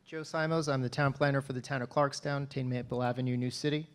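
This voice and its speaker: A man addresses a planning board in a measured, businesslike way from a podium microphone. His voice sits at an ordinary middle pitch for a man. The room sounds like a town hall meeting space. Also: steady tone, clean tone. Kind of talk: formal public comment at a planning meeting.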